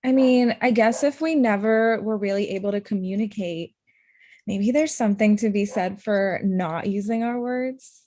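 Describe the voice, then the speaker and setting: A woman's voice: mid-range voice, moderate pace, dark tone, no room sound, lightly acted. A young woman acting a blunt, hurt, confrontational ex-girlfriend in her thirties in a comedy-drama table read. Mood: softening. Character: Amita, blunt, hurt ex-girlfriend, thirty-five, sharp-tongued lawyer.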